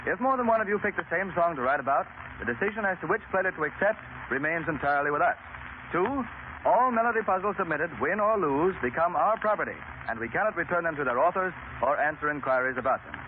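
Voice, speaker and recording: An American man reading scripted 1930s radio announcements in a brisk, formal manner. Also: on the high side, animated. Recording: narrow-band 1930s radio, faint noise.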